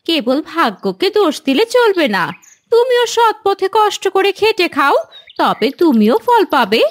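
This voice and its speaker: A woman's voice: very high-pitched, big pitch swings, unhurried, full character voice. A woman playing a principled village wife, moralising and reproachful, in a fairy-tale cartoon. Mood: admonishing. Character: principled, scolding village wife warning her husband.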